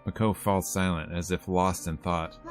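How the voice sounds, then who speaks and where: A man's voice: sits low, steady tone, moderate pace, faint music behind. A man streaming a visual novel, reading the narration aloud and adding casual commentary.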